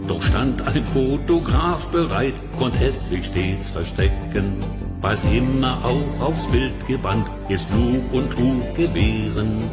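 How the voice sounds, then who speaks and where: A man's voice: medium pitch, lively intonation, slow, over loud music. A man performing a storytelling children's song, with spoken asides between the verses. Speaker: singer.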